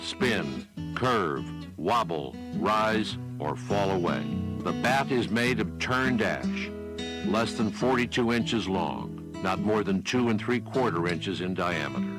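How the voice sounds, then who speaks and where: A man reading scripted documentary narration in a measured, deliberate way. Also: low voice, animated. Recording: loud guitar music underneath. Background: plucked guitar music.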